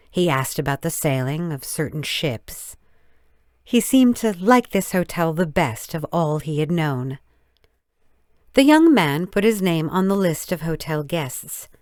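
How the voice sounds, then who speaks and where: A woman reading a story as scripted narration, in measured, deliberate, careful phrases. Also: low-pitched, no echo, clean studio sound. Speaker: audiobook narrator.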